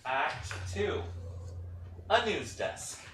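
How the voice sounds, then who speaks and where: A man's voice: on the high side, sing-song, drawn-out words, a bit bright. A man giving scripted narration of stage directions at a play reading. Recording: echoey, distant mic, noisy.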